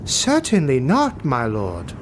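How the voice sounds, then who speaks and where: A man's voice: medium pitch, wildly swooping pitch, slow, noisy background. A man voicing a haughty, easily offended knight who serves a lord in a fantasy audiobook, arch and indignant while still deferential.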